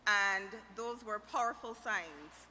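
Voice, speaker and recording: A woman's voice: mid-range voice, slow, a little hiss or hum. A middle-aged woman with a Barbadian accent giving an earnest, emotional toast at a wedding reception. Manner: earnest, emotional, warm-spoken. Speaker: wedding guest giving a greeting. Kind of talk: heartfelt toast. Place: large reception hall. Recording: reverberant hall with faint ambience.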